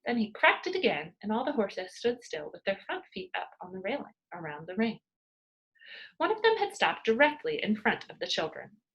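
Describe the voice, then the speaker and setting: A woman reading a children's story aloud like a teacher, expressive and dramatic. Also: mid-range voice, moderate pace, dull audio.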